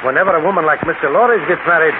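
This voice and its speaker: Casting: man to play brusque American newspaper boss for 1940s radio drama, needling and dry, higher-set voice, thin noisy sound. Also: racing, phone-quality sound, natural voice. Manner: brusque, sardonic, bossy. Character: brusque, needling male night city editor at newspaper.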